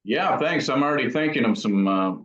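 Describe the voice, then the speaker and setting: A man's voice: mid-range voice, animated, fairly quick, fairly bright tone. A man giving casual interview answers as a guest craftsman, thinking aloud and correcting himself as he goes. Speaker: guitar amp builder being interviewed. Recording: roomy, dull lo-fi sound.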